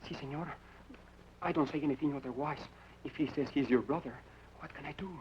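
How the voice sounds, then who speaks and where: A nervous Mexican henchman acted by a man in an old radio drama. He speaks halting, accented English in a slightly high, deferential whine. The sound is dull with faint hiss. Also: natural voice. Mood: nervous, pleading. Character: cowed, excuse-making Mexican henchman, a man. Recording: muffled, noisy old radio recording.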